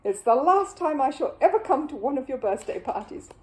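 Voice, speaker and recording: A woman's voice: high-pitched, very animated, brisk, pitched above the performer's own voice. A woman voicing a bad-tempered, indignant, blustering male neighbour in a children's storybook. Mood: outraged. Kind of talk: children's storybook character reading.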